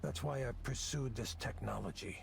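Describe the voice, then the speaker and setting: An actor playing a weary, self-loathing middle-aged tech visionary in a visual novel, with a measured, confessional delivery. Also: male voice, medium pitch, slight background noise. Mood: bleak, confessional. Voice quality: gravelly, creaky. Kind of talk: dramatic visual novel voice acting.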